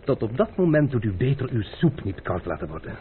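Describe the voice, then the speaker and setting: Through a thin, tinny old radio recording comes a stubborn gentleman adventurer, played by a male actor. His pitch swings widely as he teases with elaborate courtesy and unhurried insolence. Faint hiss sits behind him. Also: very animated, close to an everyday voice. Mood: mocking, unhurried. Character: stubborn, teasing gentleman adventurer, fond of food and wine. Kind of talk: old radio drama acting.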